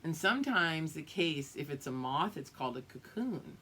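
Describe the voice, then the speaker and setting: Expressive woman teacher reading aloud, lively pitch. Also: husky. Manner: expressive, drawn-out reading with teacherly explanations and check-ins. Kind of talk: read-aloud picture book lesson with explanatory asides.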